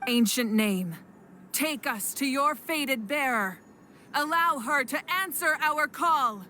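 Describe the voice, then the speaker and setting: An actress playing a composed, noble young warrior queen and kindly deity in a video game, speaking in a measured, gentle, dignified way. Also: high voice, animated, background noise. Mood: solemn, ceremonial. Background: faint background music.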